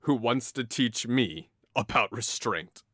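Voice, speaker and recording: A man's voice: medium pitch, expressive, takes it slowly, fairly bright tone, no echo, studio quality. A man playing a hot-headed, mocking young nobleman in an audio drama, with a theatrical, taunting delivery. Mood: teasing, mocking.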